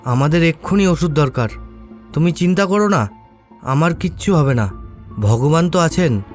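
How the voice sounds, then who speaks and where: A man's voice: on the high side, animated, moderate pace, soft background music, close to an everyday voice. An actor voicing a poor, worried but determined village fisherman in a cartoon, with an earnest, grave manner. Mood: grave, resolute. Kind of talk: cartoon voice acting.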